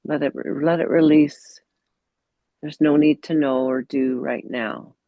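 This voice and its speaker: A woman meditation teacher leading a guided mindfulness practice in a gentle, unhurried way, pausing between short phrases, on dull, muffled audio. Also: low voice, steady tone, no echo.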